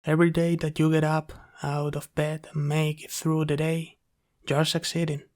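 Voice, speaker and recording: A man playing a gentle, apologetic sleep paralysis demon in a soft, hesitant, reassuring ASMR roleplay. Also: a little high, completely flat delivery, lightly acted. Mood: tender, reassuring. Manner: soft-spoken, hesitant, reassuring, pausing often.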